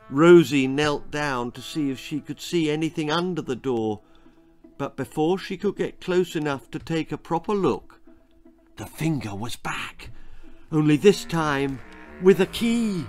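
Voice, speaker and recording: A man's voice: a little high, expressive, slow, long pauses, a little mellow, soft background music. A man reading his own spooky, comic children's story aloud in a theatrical, suspenseful way. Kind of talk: dramatic children's horror-comedy storytelling.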